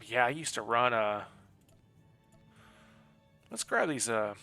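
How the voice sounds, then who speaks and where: Bright-voiced man chatting while gaming. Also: mid-range voice, sing-song, slow, creaky, faint music behind. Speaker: game streamer. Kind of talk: casual live gameplay commentary and chat.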